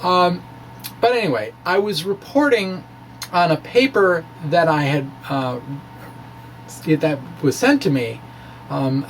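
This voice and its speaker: Guy reading his physics blog out loud, kinda slow and stumbly, playful, bit of hum behind him. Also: a little high, low-quality recording. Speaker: physics blogger reading own post. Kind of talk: informal reading of technical blog with asides.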